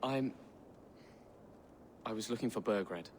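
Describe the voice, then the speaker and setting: A man's voice: on the low side, slow, slight background noise. A male actor voicing an earnest young Saxon prince in a video game, hesitant and anxious in his delivery. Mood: nervous, earnest. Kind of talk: dramatic video game acting.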